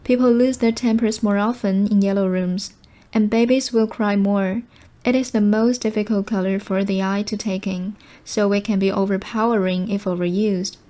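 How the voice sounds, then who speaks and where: A woman reading short study texts aloud in a measured, formal manner. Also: mid-range voice, steady tone, dark tone. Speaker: reader of practice passages.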